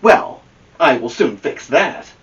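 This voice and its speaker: A man's voice: on the high side, lively intonation, takes it slowly, far from the mic, highs cut off. A man voicing a cheerful, literal-minded, eager woman from a picture book in a chipper, matter-of-fact way. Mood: chipper, busy.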